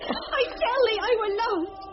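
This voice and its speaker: A woman's voice: extremely high pitch, lively intonation, a little slow. An actress playing a bewildered, dreamy country girl of centuries past, speaking plaintive archaic in a radio drama. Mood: bewildered, entranced. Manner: plaintive, archaic rustic speech. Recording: dull radio recording, noisy background.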